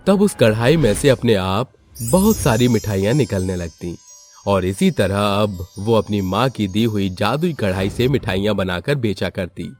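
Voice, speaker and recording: A man's voice: lowish voice, darkish tone. A man narrating a scripted moral story for children. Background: background music.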